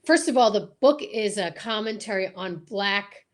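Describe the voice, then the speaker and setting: A woman's voice: mid-range voice, lively intonation, normal speed. Emphatic, enthusiastic woman chatting as host. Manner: enthusiastic, emphatic, repeats words for stress. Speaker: host of a radio drama group's live chat. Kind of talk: casual chat.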